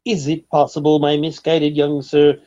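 An actor playing a pompous, eccentric millionaire obsessed with collecting eggs, with flowery theatrical delivery in old-time radio comedy style. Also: male voice, on the high side, monotone, normal speed, mellow, lightly acted. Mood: grandly condescending. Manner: theatrical, grandiloquent, flowery formal speech. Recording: dull old radio recording.

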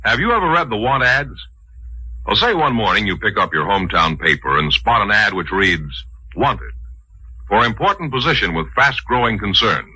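Male vintage radio announcer, emphatic, sharp and forceful, lively low-ish delivery of scripted public-service copy. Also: moderate pace, husky, low-quality recording. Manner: emphatic, rhetorical.

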